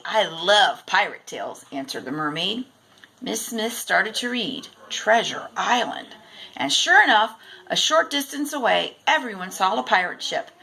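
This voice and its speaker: Woman reading a kids storybook, super expressive, bright voice w some creak. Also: on the high side, rough recording. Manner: animated, deliberate storytelling. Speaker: storybook reader. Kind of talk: expressive children's read-aloud narration.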